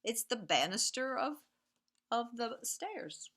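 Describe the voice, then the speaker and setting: Female storybook reader for young kids: slow, bright, expressive, sing-song, talks directly to the children. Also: a little high, slightly rough. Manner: animated and sing-song, drops to hushed, whispery repeated sound words; addresses young listeners directly, pointing out pictures. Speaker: teacher reading picture books aloud to young children. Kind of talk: expressive read-aloud of children's storybooks, with questions to listeners.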